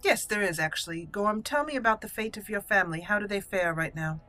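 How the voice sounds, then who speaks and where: A woman's voice: mid-range voice, brisk, sharp tone, soft background music, natural voice. A woman voicing a proud young noblewoman mage in a roleplayed game, speaking formally and with composure. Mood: composed, imperious. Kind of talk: reading game dialogue in character.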